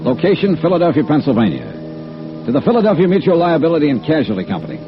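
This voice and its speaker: On a muffled vintage radio recording with faint music, a hard-boiled American insurance investigator. His delivery is clipped and wry, swinging widely in pitch like classic fifties detective drama. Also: wildly swooping pitch, fast. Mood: wry, businesslike. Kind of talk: 1950s radio detective drama acting and narration.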